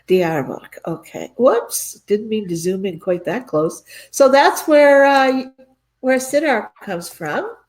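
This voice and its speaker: A woman's voice: medium pitch, expressive, slow pace. A woman hosting a live-stream interview on a video call, chatty and self-deprecating, laughing often. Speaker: host.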